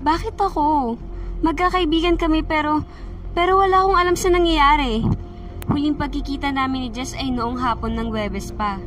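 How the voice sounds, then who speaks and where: A woman's voice: high voice, moderate pace, a bit bright, slight background noise. A young woman playing a cold, menacing schoolgirl ringleader who sneers and threatens in a student radio drama. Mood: defensive. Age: young adult.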